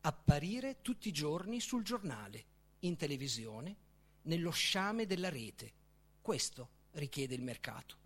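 Male author-reader, high-set voice, widely swinging intonation, declamatory literary delivery. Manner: declamatory, deliberate, with emphatic pauses. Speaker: author reading own book. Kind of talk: literary reading, dramatic recitation.

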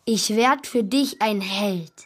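A woman's voice: mid-range voice, slow. A woman playing an eager, cheeky young boy in a children's radio play. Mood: excited, wistful.

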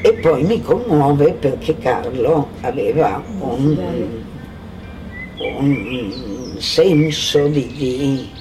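A man giving a spontaneous personal recollection, halting and emotional as he searches for words. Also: mid-range voice, animated, over music. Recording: distant mic, clear outdoor noise with birdsong. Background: birds chirping, outdoor ambience.

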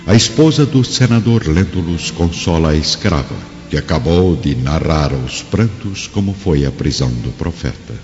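Very deep male narrator. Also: lively intonation, moderate pace, hardly any pauses. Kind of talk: solemn dramatic scripted narration. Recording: dull, reverberant radio drama with music.

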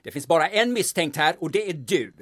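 A man's voice: fairly high pitch, expressive, a bit bright. An actor playing a blunt, pushy male investigator from the capital in a radio drama, curt, insinuating and threatening.

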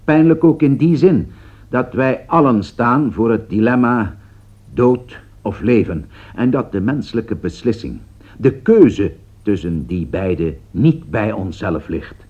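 A man playing a solemn political commentator in a radio drama, speaking in a deliberate, rhetorical way to his listeners. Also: fairly low pitch, lively intonation, slightly rough. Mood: grave. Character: grave male radio political commentator addressing listeners amid world crisis. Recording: dull lo-fi old radio recording.

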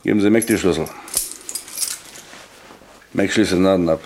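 An actor playing a menacing, falsely polite gangster in a 1960s German radio play, mocking and threatening. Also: male voice, sits low, moderate pace, a little mellow, slight background noise. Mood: cold menace. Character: menacing, sneering male gangster intimidating a shopkeeper.

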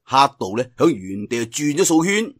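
A man's voice: mid-range voice, big pitch swings, dry recording, studio quality. A man narrating a martial arts tale in theatrical, colloquial Cantonese storytelling style. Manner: theatrical, colloquial telling with drawn-out sentence particles. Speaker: audiobook storyteller.

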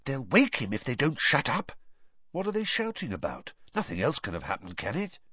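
An anxious, protective middle-aged woman, played by a male narrator, with a lifted, lively voice. It is an audiobook character in a dry, slightly dull recording. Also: medium pitch, moderate pace, slightly rough, no room sound, voice pushed up, exaggerated voice. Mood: anxious, hushed.

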